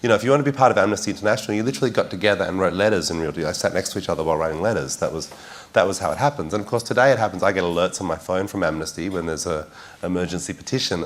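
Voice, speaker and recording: Deep-voiced fast-talking man on a panel. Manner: thoughtful, repeats words while building a point. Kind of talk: panel discussion.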